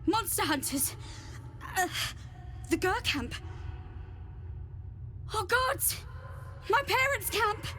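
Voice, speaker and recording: A captive young female vampire spawn, starved and anguished, in a video game drama. Her voice is very high and tense. She speaks slowly, in halting, strained fragments. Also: big pitch swings, sharp tone, a little hiss or hum, heavily acted. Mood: anguished rage.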